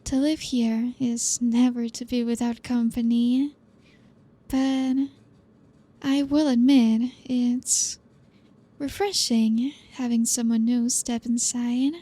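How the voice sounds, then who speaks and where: An elegant female vampire, played by a woman, speaks as a gracious hostess with a quietly possessive, teasing edge. Her voice is slightly high yet mellow, unhurried and held to a narrow, even melody. It is an intimate ASMR roleplay delivery.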